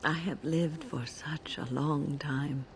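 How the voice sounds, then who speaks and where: An actress playing a kindly, weary elderly woman mage in a video game, speaking gently and with dignity. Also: deep voice, fairly even intonation, slow pace, a crowd in the background. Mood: wistful, gentle. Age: older. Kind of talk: video game character dialogue.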